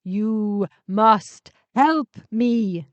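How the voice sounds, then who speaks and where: Woman acting as male living alien spaceship, needy and menacing, slow emphatic drawl, dry sound, sci-fi story. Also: medium pitch, no echo, muffled recording. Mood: threatening, demanding.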